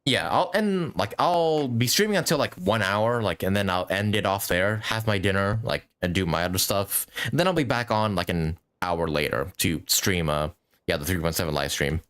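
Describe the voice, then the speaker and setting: A man livestreaming a game with casual commentary, halting and often interrupting himself. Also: deep voice, animated, a bit bright. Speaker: game streamer.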